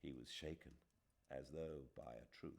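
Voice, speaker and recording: A man with a British accent reading literary fiction as an audiobook narrator, with dramatic, deliberate phrasing. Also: very deep voice, gravelly. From Britain.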